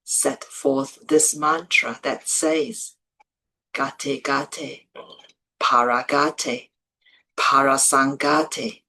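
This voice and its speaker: A woman reading scripture in a measured, deliberate way, phrase by phrase with pauses between them. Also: very deep voice, steady tone, pushed and bright, rough recording. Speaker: Buddhist monastic, reader. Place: office.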